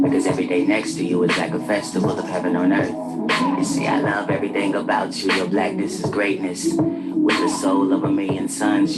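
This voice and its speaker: A man delivering earnest, rhythmic spoken-word love poetry. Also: low voice. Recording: music track under the voice. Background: music.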